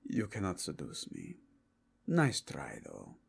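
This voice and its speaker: Guy doing a solemn church inquisitor for audio roleplay, very formal and stern, slow and a bit gravelly. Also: a bass voice, huge pitch swings, full character voice. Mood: stern, unmoved. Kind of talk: fantasy audio roleplay acting.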